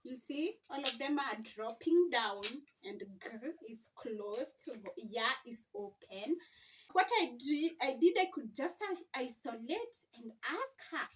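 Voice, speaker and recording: A woman's voice: high voice, expressive, slow pace. A woman speaking as a mother and teacher in an informal instructional vlog, explaining things in simple phrases. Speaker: mother teaching her children to read. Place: at home. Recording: distant mic, faint background noise.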